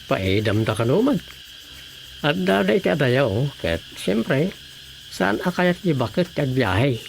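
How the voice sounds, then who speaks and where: A man's voice: a little high, big pitch swings, unhurried, creaky, full character voice. A man playing a curious, gossiping villager in a conversational radio drama style. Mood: gossipy. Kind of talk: Ilocano radio drama acting. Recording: faint background noise with crickets.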